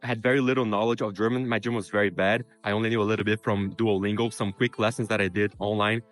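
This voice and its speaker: A man's voice: lowish voice, fairly even intonation. A man from Brazil whose first language is Portuguese, giving casual advice to camera in an informal way with frequent fillers. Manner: quick, informal, frequent "you know" fillers. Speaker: vlogger giving career tips.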